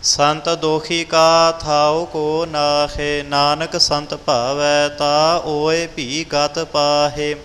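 A man reciting scripture in a steady, melodic chant, repeating lines when corrected. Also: a little high, drawn-out words, few pauses. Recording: long reverb with faint music.

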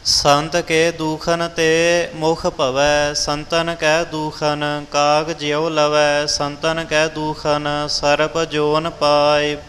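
A man chants scripture in a slow, even melodic line, barely moving in pitch. His voice sits a touch high for a man and carries a long hall reverb. Faint music plays underneath. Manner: steady chanted singing, repeats lines when corrected. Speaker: scripture reciter.

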